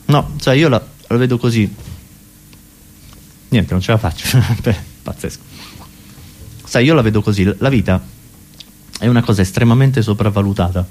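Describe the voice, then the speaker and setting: A man's voice: fairly low pitch, normal speed, pauses often, a little mellow, slight background noise. A man performing a conversational, ironic comic monologue for radio theatre, musing philosophically and talking to imagined listeners.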